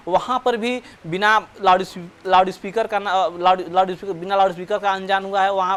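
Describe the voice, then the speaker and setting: A man reporting news live and explaining unscripted, in a halting way, often repeating and correcting his words. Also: sits high, fairly bright tone.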